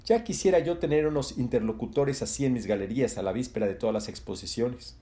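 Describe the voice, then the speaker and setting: A man voicing a genial Dominican gallery owner with a Dominican accent, cordial and brisk. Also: mid-range voice, mellow, lightly acted.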